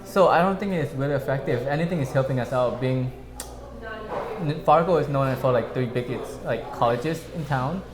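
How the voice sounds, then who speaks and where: A man's voice: mid-range voice, normal speed. A man from Thailand, a restaurant co-owner, talking loosely in an interview. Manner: loose, rambling, non-native phrasing. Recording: echoey room with crowd noise.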